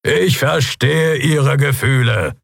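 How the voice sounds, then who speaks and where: A man voicing a stern, unshakeable creature captain of the guard in a fantasy audiobook, loud and formal. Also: mid-range voice, takes it slowly, vocal fry, dry recording, clean studio sound, voice pushed up. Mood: firm.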